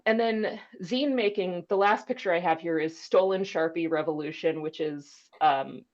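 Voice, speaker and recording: A woman's voice: deep voice, dull audio. A woman librarian speaking informally on an online panel, presenting in a chatty way with conversational asides. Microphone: video call.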